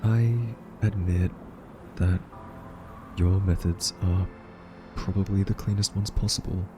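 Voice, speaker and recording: A man playing an anxious, soft-spoken scientist who reasons haltingly and earnestly with a listener in an audio roleplay. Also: low-pitched, fairly even intonation, over music, low-quality recording. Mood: careful, pleading.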